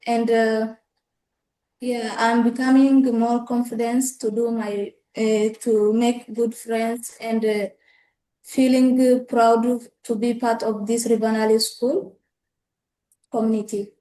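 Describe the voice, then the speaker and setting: Young woman from ethiopia, slow and flat, lots of uh's, echoey room. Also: fairly high pitch. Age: young adult. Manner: hesitant, halting, many "uh" fillers. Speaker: student guest.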